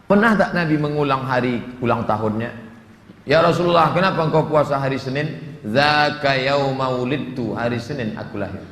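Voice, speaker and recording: Gravelly male preacher, echoing hall. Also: mid-range voice, a bit bright. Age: 40s. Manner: humorous, conversational, with dramatic asides and Arabic quotations. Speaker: Islamic preacher. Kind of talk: sermon answering audience questions, with jokes and acted-out dialogues. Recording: echoey hall with long reverb, background noise.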